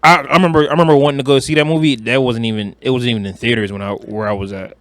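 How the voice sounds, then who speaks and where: A man's voice: mid-range voice, steady tone, rapid-fire, no room sound. A young American man from Detroit co-hosting a podcast, talking movies casually in a slangy, profane, emphatic way. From Detroit, United States. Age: young adult. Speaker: podcast co-host.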